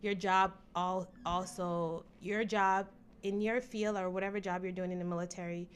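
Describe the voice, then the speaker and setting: A woman's voice: lowish voice, little pitch movement, normal speed, a little hiss or hum. A woman, answering interview questions in a studio in an easygoing, reflective way. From Jamaica. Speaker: interview guest.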